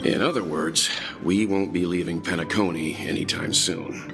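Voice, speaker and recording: Calm reserved young game traveler, really low voice, music blaring behind. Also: male voice, normal speed. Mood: calm, matter-of-fact. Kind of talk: video game dialogue. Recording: loud music over the voice.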